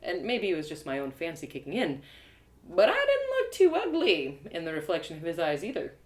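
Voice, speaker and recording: A woman performing an animated, expressive first-person fantasy story as a dramatic narrator. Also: on the low side, fairly quick. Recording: roomy home live reading.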